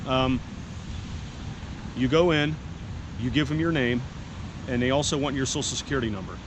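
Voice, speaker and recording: A man's voice: medium pitch, normal speed. A man talking frankly and plainly in a casual vlog, with a congested, stuffy-headed voice, recorded outdoors in a city park. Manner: frank, plain-spoken, rambling asides. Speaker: homeless vlogger. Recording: outdoor noise in the background.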